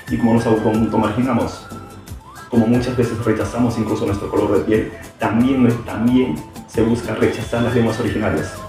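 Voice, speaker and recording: Hesitant man in an echoey room. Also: mid-range voice. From Peru. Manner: reflective, hesitant with many fillers and restarts. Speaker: teacher. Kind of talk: interview answers. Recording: very echoey room, far from mic, music behind.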